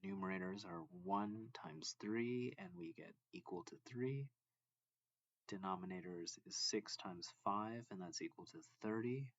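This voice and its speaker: A man reading a math textbook lesson aloud as a tutor, deliberately and in short phrases. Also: lowish voice, expressive, creaky, a bit bright, no echo, muffled recording.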